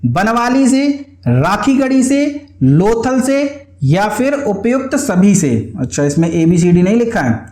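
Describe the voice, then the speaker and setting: A man's voice: high-pitched, dark tone. A man teaching an exam lesson in a didactic way, posing questions and answering them himself, repeating key points. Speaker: history teacher for exam students. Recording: echoey, roomy sound.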